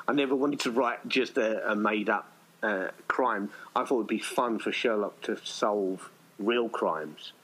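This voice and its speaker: Low-voiced British man chatting casually. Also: fairly bright tone, rough recording. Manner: rambling, chatty. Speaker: audio play writer. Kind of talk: casual conversation.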